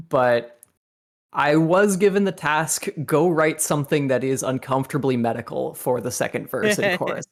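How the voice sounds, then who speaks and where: A young man talking casually on a group commentary about writing song lyrics, rambling and laughing often. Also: mid-range voice. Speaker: songwriter on a fandub commentary.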